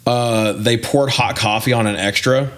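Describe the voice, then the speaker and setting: A man's voice: mid-range voice, fairly even intonation, moderate pace, a bit bright. A man in his forties co-hosting a podcast, chatting casually and telling stories in an informal, sweary, joking way. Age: 40s. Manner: informal, profane, jokey storytelling. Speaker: podcast co-host. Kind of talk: casual film review chat.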